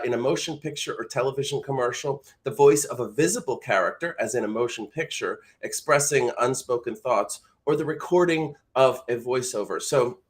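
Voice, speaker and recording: A man's voice: medium pitch, a bit bright. A man hosting an informal instructional live show, explaining things directly to the listener as a teacher would. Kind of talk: informal instructional talk to camera.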